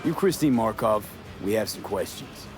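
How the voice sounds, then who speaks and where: An actor playing a cool investigator in a video game, with a clipped, matter-of-fact way of talking. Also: male voice, lowish voice, expressive, moderate pace, lightly acted. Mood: businesslike. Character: cool, blunt male investigator questioning witnesses. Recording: music behind the voice.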